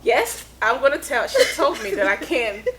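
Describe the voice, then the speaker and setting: A woman who is the guest in an interview, chatting casually about her life in music, laughing often and sending shout-outs to friends. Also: sits high, expressive, normal speed, a little hiss or hum. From Europe.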